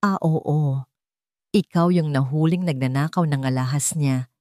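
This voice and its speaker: Audio drama role: woman as cruel, haughty society woman, condescending and mock-sweet, mellow dry sound. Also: low-pitched, unhurried, dry recording. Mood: mock discovery. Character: haughty, cruel rich woman taunting a servant.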